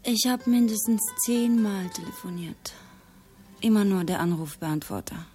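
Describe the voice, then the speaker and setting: A woman's voice: medium pitch, measured pace, warm tone, faint music behind, close to an everyday voice. An actress playing a subdued woman in a radio drama, talking plainly and intimately in short phrases to a close friend. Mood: subdued, grateful.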